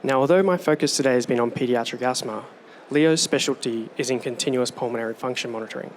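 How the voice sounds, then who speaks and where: A man giving a rehearsed startup pitch on stage with a steady, polished delivery. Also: fast talker, a little hiss or hum. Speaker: startup presenter.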